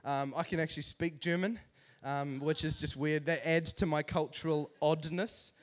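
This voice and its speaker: A man in his thirties with a New Zealand accent, giving a lively, joking church talk to a congregation. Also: on the high side, normal speed. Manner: animated, joking, self-deprecating. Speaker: church speaker. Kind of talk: humorous sermon storytelling to a congregation.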